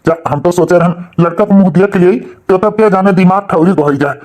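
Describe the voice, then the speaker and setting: A man's voice: high-pitched, brisk, a little mellow, natural voice. A man playing an aggrieved, plain-spoken young village father who grumbles and exclaims in a comic cartoon, with an Awadhi accent. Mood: angry.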